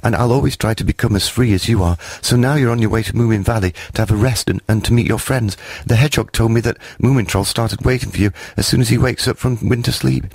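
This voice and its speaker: A tiny shy furry creature, as a man puts it on talks in a high, stammering rush, begging and admiring. It sounds dry and close, with a husky edge under the raised pitch. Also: fast, hardly any pauses, darkish tone, no echo. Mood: eager shy. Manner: breathless, stammering, pleading.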